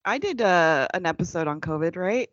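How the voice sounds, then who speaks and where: A woman's voice: fairly low pitch, expressive, normal speed, dry recording, highs cut off. A woman co-hosting a podcast in casual chat, giggling often and drawling her fillers. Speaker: podcast co-host.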